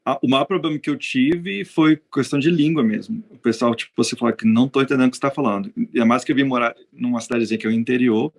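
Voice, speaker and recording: Man, gravelly voice, Brazilian, hesitant, restarts often, video call. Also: mid-range voice, quick pace, few pauses. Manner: hesitant, many restarts and fillers. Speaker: veterinarian guest. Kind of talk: conversational interview answers.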